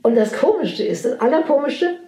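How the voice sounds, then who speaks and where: A very old woman, a poet, telling anecdotes from her past in animated, casual conversation. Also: sits high, moderate pace. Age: 80s or older. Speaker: poet recalling her career. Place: indoors in a room. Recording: roomy echo with music.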